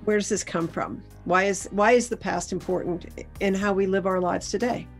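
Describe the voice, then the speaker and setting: A woman's voice: medium pitch, steady tone, moderate pace, faint music behind. A middle-aged American woman, a historian explaining things in a panel discussion on a video call. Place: indoors at home, video call.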